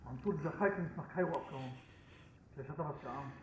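An actor playing a zealous, commanding Libyan terror plotter in radio drama dialogue. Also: male voice, on the high side, fast talker, close to an everyday voice, rough recording. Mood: conspiratorial.

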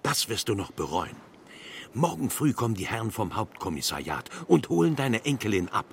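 A scornful, officious school inspector in a children's radio play. His delivery swings widely in pitch, pompous and bureaucratic, over faint background noise. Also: big pitch swings, moderate pace, gravelly. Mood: threatening, dismissive. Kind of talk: German children's radio drama acting.

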